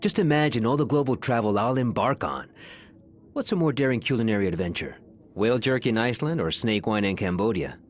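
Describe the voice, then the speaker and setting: An actor playing a flamboyant, grandiose Brazilian creative director in a comedy audio drama, pompous and theatrical. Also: male voice, on the low side, animated, fairly quick, a little hiss or hum, close to an everyday voice. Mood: gleeful, grand.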